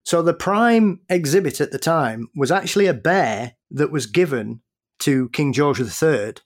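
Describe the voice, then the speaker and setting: A man, a guest on a podcast, with a voice pitched a little above the usual. He recounts odd historical tales in careful detail. He laughs readily along the way. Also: animated, unhurried. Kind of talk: casual podcast conversation.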